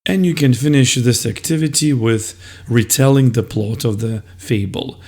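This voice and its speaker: A man explaining classroom methods as a teacher, in a deliberate, step-by-step instructional style. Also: mid-range voice, a little mellow.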